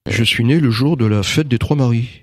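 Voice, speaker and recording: A man's voice: mid-range voice, a little fast, dry recording, studio quality. A man giving an interview in casual conversation, speaking hesitantly with many fillers and restarts. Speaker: interviewee, writer and magnetizer.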